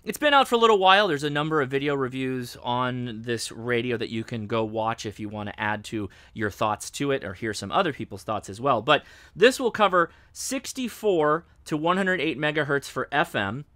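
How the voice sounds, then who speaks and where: A man's voice: medium pitch, lively intonation, normal speed, fairly bright tone, no echo. American man, dry close casual review. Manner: informal, explanatory. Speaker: host, radio reviewer. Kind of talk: casual product review and demonstration. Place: indoors, home radio studio and workbench.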